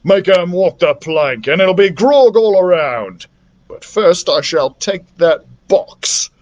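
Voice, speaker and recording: A rowdy storybook smuggler, performed by a man reading aloud. He shouts and jeers at his crew in a raised, swinging voice, full of bullying swagger. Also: high-pitched, expressive, takes it slowly, lo-fi sound, voice pushed up. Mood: rowdy, greedy. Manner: shouting, jeering. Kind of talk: dramatic children's storybook reading.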